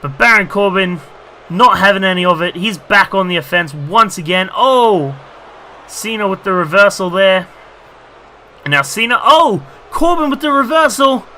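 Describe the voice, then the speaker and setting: A man calling a video game wrestling match in a very bright, fairly high voice, full of "you know" fillers, jumping up in excitement when a big move lands. His voice is a little strained from talking so much, and a faint hiss sits under the recording. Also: moderate pace. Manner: rambling commentary with many fillers, bursts of excitement at big moves. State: losing his voice. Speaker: gaming YouTuber commentating a wrestling game. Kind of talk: excited play-by-play commentary.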